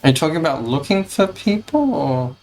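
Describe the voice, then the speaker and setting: A man's voice: on the high side, big pitch swings, brisk, darkish tone. A man giving casual, musing playthrough commentary as he plays a video game. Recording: game music behind the voice.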